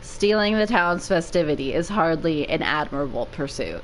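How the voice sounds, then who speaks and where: A woman's voice: sits low, lively intonation, normal speed, slight background noise, poor audio. A woman giving a spontaneous dramatic reading of a visual novel as a let's play narrator, over game music. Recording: music playing behind the voice.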